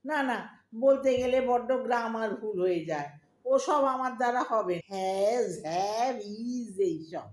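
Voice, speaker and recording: A woman's voice: a little high, normal speed, close to an everyday voice. A woman playing a fretful, old-fashioned middle-aged Bengali mother in an audio drama, plaintive and scolding, with a Bengali accent. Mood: flustered. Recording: roomy, echoing audio drama recording.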